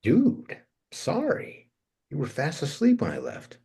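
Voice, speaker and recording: A man's voice: fairly high pitch, huge pitch swings, slow, creaky, mellow, slightly rough, exaggerated voice. A man acting a laid-back, breezy male slacker in a casual, slangy way at a script read. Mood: sheepish apologetic. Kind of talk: table read of a film script.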